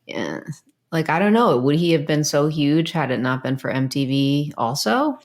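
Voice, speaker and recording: A woman's voice: deep voice, big pitch swings, moderate pace, a little mellow. A woman co-hosting a podcast, chatting informally in loose phrases as she thinks aloud. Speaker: podcast co-host. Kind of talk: casual chat.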